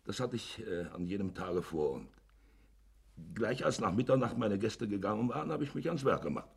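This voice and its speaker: An actor in a German radio play playing a shifty village innkeeper who justifies himself in a hesitant, wordy way. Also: male voice, fairly low pitch, animated, normal speed, creaky, husky, full character voice. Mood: evasive, ingratiating. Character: shifty, smooth-talking village innkeeper hiding a crime.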